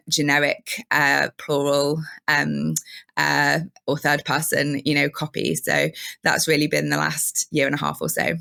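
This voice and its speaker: A woman in her thirties with a cold, sounding croaky, chatting as a guest in an interview with plenty of ums. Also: deep voice, pushed and bright. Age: 30s. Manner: chatty with frequent ums, laughs easily. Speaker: guest, marketing consultant. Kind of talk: casual interview chat.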